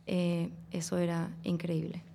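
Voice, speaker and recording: A woman's voice: lowish voice, completely flat delivery, unhurried, vocal fry, warm tone. A woman, a guest, telling her own faith story in a hesitant, casual way with many fillers. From Venezuela. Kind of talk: casual personal testimony.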